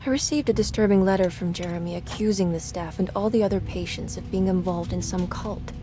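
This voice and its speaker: An actress playing a somber, anxious young woman in a video game, speaking in a measured, polite, gloomy way. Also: lowish voice, close to an everyday voice. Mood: gloomy, resigned. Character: somber, anxious young female client seeking her uncle. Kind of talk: cinematic game voice acting.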